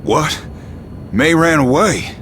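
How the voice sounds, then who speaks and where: An actor playing a suave, protective crew leader in a video game, smooth-talking and dramatic. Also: male voice, on the high side, expressive, slow pace, a bit bright, slight background noise. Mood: alarmed.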